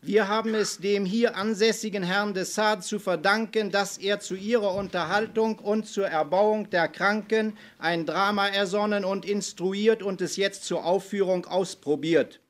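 A man's voice: high-pitched, fairly even intonation, a little slow, few pauses. A man speaking live at a literary reading, introducing and reading a text aloud. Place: hall at a literary meeting. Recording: noisy archive live recording.

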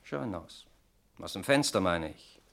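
Wry brother, swooping intonation. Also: male voice, low voice, expressive, unhurried, creaky, fairly bright tone, heavily acted. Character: wry, relaxed brother sharing suspicions. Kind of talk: German 1960s radio play acting.

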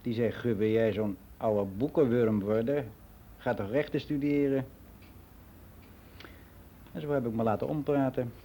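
Male actor doing middle-aged guy in a radio play, world-weary n gossipy, soft mellow voice, rambling on matter-of-factly, music around. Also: medium pitch, measured pace, long pauses, slight background noise, natural voice. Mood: chatty, rambling. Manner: rambling, confiding, matter-of-fact. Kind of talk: radio drama monologue.

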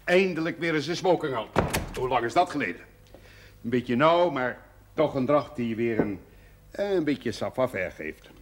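An actor playing a formal, older husband in a television drama, speaking haltingly. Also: male voice, medium pitch, animated, natural voice. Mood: fondly pompous. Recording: noisy 1970s television soundtrack, clicks.

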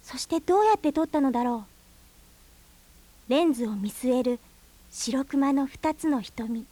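A woman's voice: high-pitched, expressive, moderate pace, warm tone, dry recording. A woman playing an earnest, anxious young girl in a Japanese radio drama, a niece who tells her own story and questions her elders politely. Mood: reflective, narrating. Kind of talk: Japanese radio drama acting with first-person narration. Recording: 1990s FM radio drama on cassette.

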